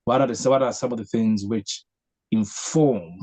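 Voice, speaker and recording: A man's voice: on the low side, a little mellow. Earnest man asking searching questions. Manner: earnest, posing long searching questions with many pauses. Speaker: host leading a discussion. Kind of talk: reflective spiritual discussion.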